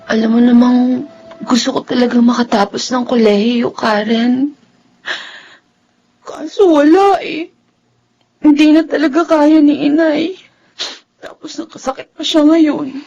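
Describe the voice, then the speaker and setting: A woman's voice: sits high, unhurried, gravelly, pauses often, mellow, dull audio. An actress playing an earnest, hopeful young female college student from a poor family in a Filipino radio drama. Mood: troubled, downcast. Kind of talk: Tagalog radio drama acting.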